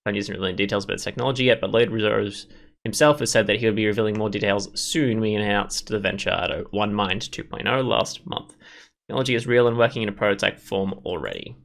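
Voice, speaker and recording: A man's voice: lowish voice, fast, gravelly, a bit bright. A man hosting a gameplay video, reading visual novel text aloud and adding rambling, casual commentary.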